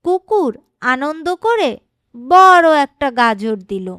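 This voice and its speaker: High-voiced woman telling a slow children's story. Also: very animated, sharp tone, no echo, clean studio sound. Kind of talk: scripted children's story narration. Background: background music.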